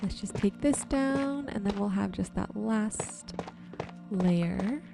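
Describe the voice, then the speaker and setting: A woman doing casual, soft-spoken ASMR commentary while playing a game, murmuring to viewers in an unhurried way. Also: medium pitch, gravelly. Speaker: video creator and host. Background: music.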